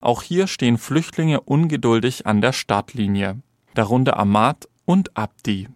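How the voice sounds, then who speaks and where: Male reporter, mid-pitched voice, scripted radio feature narration. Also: lively intonation, measured pace.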